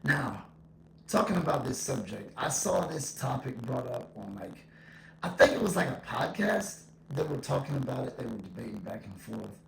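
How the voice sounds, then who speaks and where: A man speaks in a very creaky, gravelly voice at an ordinary male pitch. He gives his opinions casually, as a vlogger does, circling back to repeat points for emphasis. An echo effect hangs on the voice, over faint background noise. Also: big pitch swings, normal speed, fairly bright tone. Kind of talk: casual opinion monologue to camera.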